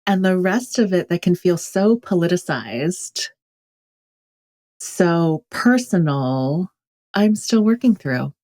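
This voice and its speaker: A woman's voice: fairly low pitch, expressive, takes it slowly, a little mellow. A woman from California speaking as an author guest in an interview, thoughtful and candid. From California, USA. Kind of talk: reflective interview talk.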